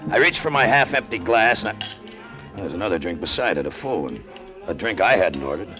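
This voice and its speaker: Man playing a low-voiced, world-weary American pilot. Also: animated, a little fast, natural voice. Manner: sardonic, slangy, world-weary. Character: cynical, down-on-his-luck American male pilot, hard-boiled storyteller. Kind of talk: 1950s radio drama acting, first-person narration. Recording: vintage radio drama with music behind.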